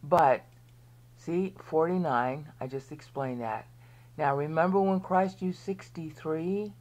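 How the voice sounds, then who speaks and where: A woman with an American accent and a low, deep voice walks through scripture in a rambling, explanatory way. She often checks in with her listeners and now and then drops almost to a whisper. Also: slow, darkish tone. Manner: drops to a near whisper at times. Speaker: Bible teacher. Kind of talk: screen-share Bible lesson.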